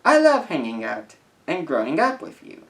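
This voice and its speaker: A young man in his late teens speaking as a video creator in a casual vlog, reading messages aloud in an earnest, careful, halting way. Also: on the high side, wildly swooping pitch.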